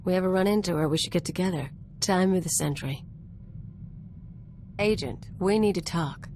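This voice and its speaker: An actress playing a brash, streetwise gunslinger in a video game, talking in a casual, clipped, cocky way. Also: female voice, lowish voice, moderate pace, darkish tone, slight background noise, lightly acted. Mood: breezy. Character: brash, streetwise female outlaw gunslinger companion. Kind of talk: conversational video game acting.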